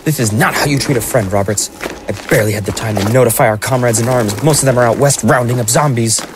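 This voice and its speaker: A man's voice: mid-range voice, fast, natural voice. A man playing a put-upon, wheedling small-town mayor in an audio drama, with a sardonic, fussy, evasive manner. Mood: peeved. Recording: noisy background ambience.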